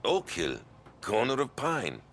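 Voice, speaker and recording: An actor playing a streetwise underworld driver with a matter-of-fact manner in a crime game. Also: male voice, medium pitch, huge pitch swings, takes it slowly, fairly bright tone, slight background noise, exaggerated voice. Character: streetwise male driver passing on underworld tips. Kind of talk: cinematic crime game acting.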